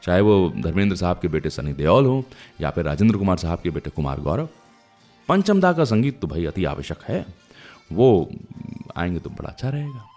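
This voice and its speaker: A man's voice: deep voice, very animated, normal speed, a little mellow. A man with an Indian accent hosting a radio show, talking to listeners in a conversational, reflective storytelling way about music.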